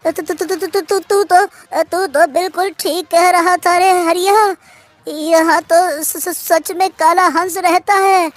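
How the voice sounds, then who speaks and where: Woman as a very high-pitched, blustery village man. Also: fairly even intonation, slow pace, dry recording. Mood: terrified, stuttering. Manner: teasing bluster, stutters when scared. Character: mocking, skeptical village man, Hariya's companion Balram. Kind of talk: Hindi kids' cartoon story acting.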